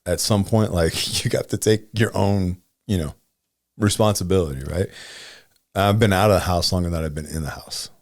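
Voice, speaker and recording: Deep-voiced man in his forties chatting. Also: moderate pace, a little mellow, no echo, clean studio sound. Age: 40s. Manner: thinking aloud, frequent "right?" and "you know". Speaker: podcast co-host. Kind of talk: casual conversational podcast.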